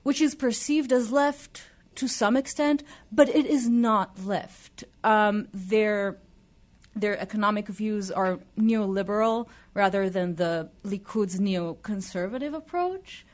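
A woman from Israel with an Israeli accent, speaking as an interview guest in a deliberate, emphatic, explanatory way. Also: mid-range voice, a little mellow. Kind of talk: explanatory political discussion.

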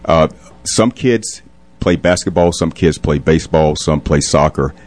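Male interview guest, middle-aged, very deep bass voice. Earnest, measured, conversational. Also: a little mellow. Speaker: interview guest, police captain and community leader. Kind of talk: casual radio interview.

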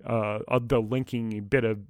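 Male podcast host, medium pitch, measured conversational delivery with pauses, very little room sound. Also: flat delivery, darkish tone, clean tone, no room sound, clean studio sound. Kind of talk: podcast discussion.

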